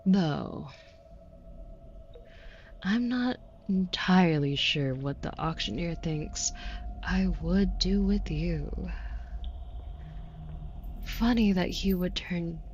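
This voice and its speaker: A woman's voice: lowish voice, drawn-out words, pauses often, darkish tone, lo-fi sound, slight background noise. A woman playing a haughty, predatory demon buyer in an intimate audio roleplay, taunting with condescending, mock-sweet cruelty. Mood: menacing, taunting.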